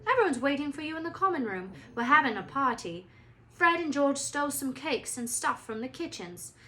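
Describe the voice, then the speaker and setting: A woman voicing an excitable, boastful schoolboy who shouts exuberantly in a storybook reading. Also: high voice, moderate pace, voice pushed up, heavily acted. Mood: excited.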